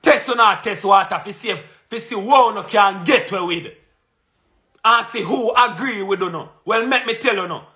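Jamaican man in his thirties, home phone vlog, high forceful voice, confrontational. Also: moderate pace. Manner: emphatic and confrontational, punches out lists and repeats phrases. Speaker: vlogger. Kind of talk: fiery monologue to camera. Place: small indoor room at home. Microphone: phone camera at arm's length.

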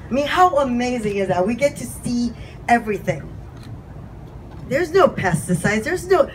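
Woman, fifties, slightly high voice, candid and impassioned, speaking on a handheld mic outdoors over steady background noise. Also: low-quality recording. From California. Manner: candid, impassioned, self-deprecating. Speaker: community speaker. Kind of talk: informal speech to a crowd. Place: outdoor patio event, crowd standing around. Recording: outdoor event with crowd noise behind.

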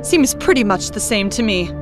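A woman's voice: mid-range voice, expressive, normal speed, music in the background, natural voice. A woman playing a defiant, imperious alien usurper who commands a warship in an audio drama. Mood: defiant, haughty.

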